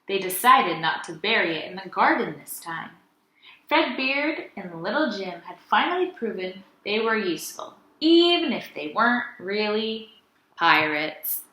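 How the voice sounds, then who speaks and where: A woman teacher reading a storybook aloud to children in an expressive, animated way. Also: medium pitch, a little slow, echoey room, low-quality recording. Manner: animated, addresses children as friends.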